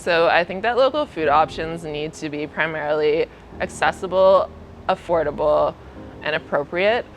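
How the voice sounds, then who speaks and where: Woman advocate, lower pitch, measured and articulate interview delivery over faint music. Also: low-quality recording.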